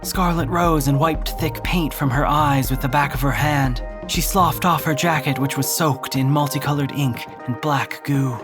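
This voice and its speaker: Audio drama narrator: medium register, scripted storytelling, clear music bed underneath. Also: medium pitch, normal speed, hardly any pauses.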